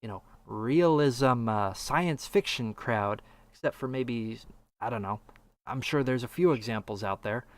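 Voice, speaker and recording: Clear-voiced man hosting a casual podcast. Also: mid-range voice, measured pace. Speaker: podcast host asking questions.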